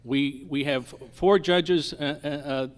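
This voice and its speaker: A man's voice: fairly high pitch, extremely slow, smooth. A man, a lawyer and judicial candidate, giving a campaign introduction with hesitant stumbles and repeats. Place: live candidate forum.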